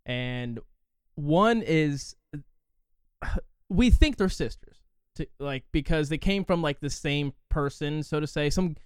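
A man's voice: on the high side, slow, clear, resonant tone, dry recording, clean studio sound. A man in his twenties from Indiana, rambling through a casual chat with lots of fillers and swearing. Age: 20s. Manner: rambling, profane, frequent fillers.

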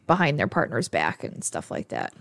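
A woman's voice: deep voice, big pitch swings, moderate pace, vocal fry, dry recording, clean studio sound. A woman with a northern Upper Midwest American accent, co-hosting a casual podcast, candid and profane, with frequent filler words. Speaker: podcast co-host.

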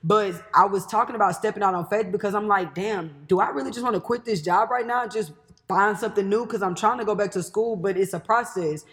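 Co-host, nonchalant, monotone, casual chat, middle pitch. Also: fast. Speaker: podcast co-host with a YouTube channel.

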